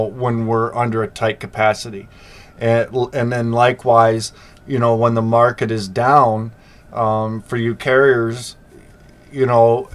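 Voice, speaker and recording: A middle-aged man with a slight sore throat talks straight to his viewers about the freight business. His pitch sits in a plain, middling range, and his delivery is loose and conversational, full of "um" and "you know" as he reassures the audience. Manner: conversational, many fillers, reassuring asides. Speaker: freight business channel host. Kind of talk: casual talk to camera.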